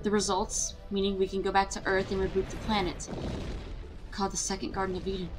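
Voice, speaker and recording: A composed female paleontologist, played by a woman in a sci-fi audio drama. She sounds formal and proud, mid-pitched, with clear music underneath. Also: flat delivery, quick pace, close to an everyday voice, poor audio. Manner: formal, explanatory. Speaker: actress in a fan audio drama. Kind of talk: full-cast audio drama acting.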